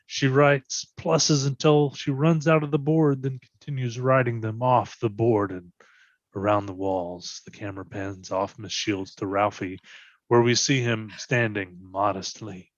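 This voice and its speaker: A man's voice: medium pitch, no room sound, muffled recording. A man reading stage directions as scripted narration for a readers theatre.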